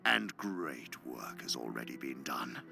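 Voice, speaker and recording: An actor playing a cunning, pompous senior demon in an audio drama, lecturing eloquently and with disdain. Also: male voice, extremely low pitch, big pitch swings, measured pace, vocal fry, heavily acted. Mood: sly, scheming. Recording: music underneath.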